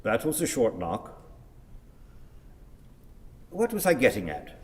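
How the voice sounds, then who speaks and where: An actor playing a grumpy, long-winded old husband in a radio play, with ponderous, pedantic, theatrical delivery. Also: male voice, medium pitch, wildly swooping pitch, takes it slowly, long reverb tail. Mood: pompous grumbling. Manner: declamatory, ponderous, wordy.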